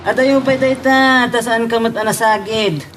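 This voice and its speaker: A man's voice: very high voice, steady tone, moderate pace, fairly bright tone, faint music behind. A man acting a cocky, taunting young rival in an Ilocano romance drama, with an Ilocano accent.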